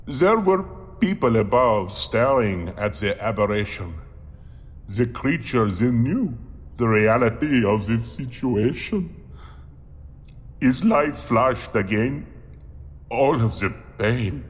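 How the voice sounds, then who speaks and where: A man playing a monstrous man-eater, reciting a grim rhyming memoir in a slow, theatrical, deliberate manner with long reverb. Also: mid-range voice, animated. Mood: mournful. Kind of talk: theatrical rhymed storytelling.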